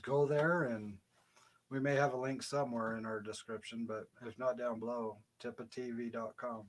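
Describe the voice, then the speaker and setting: A soft-spoken man co-hosting a casual livestream chat, talking quietly and a little away from a shared microphone. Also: mid-range voice, steady tone, normal speed. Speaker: co-host. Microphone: shared desk mic, off-axis.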